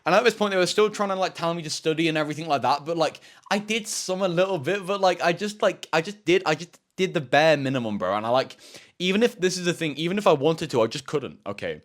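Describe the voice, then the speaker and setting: A seventeen-year-old man telling a rambling, casual story, with a fairly deep voice, swearing often and saying "bro" and "like" a lot. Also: expressive, quick pace. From United Kingdom. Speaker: self-improvement YouTuber.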